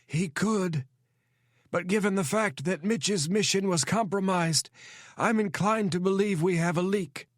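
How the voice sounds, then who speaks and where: A frail old spy chief in his eighties, voiced by a man, pitched well above the narrator's usual deep tone. He speaks in a formal, measured and deferential way, with a thin, oddly different timbre. The sound is dry and close. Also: no echo. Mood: earnest, advising. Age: 80s. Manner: formal and measured, keeps saying sir.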